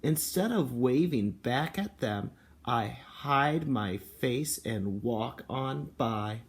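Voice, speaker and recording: A man speaking as a kindergarten teacher, reading and explaining to young children in an expressive, encouraging way with simple phrasing. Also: medium pitch, slow. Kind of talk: picture book read-aloud and talk to children and parents.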